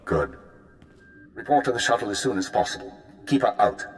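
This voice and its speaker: A man voicing a commanding low-voiced spymaster. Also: animated, soft background music, close to an everyday voice. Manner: formal, commanding, measured. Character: brisk senior male intelligence handler. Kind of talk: video game cut-scene acting. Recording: roomy echo with faint noise.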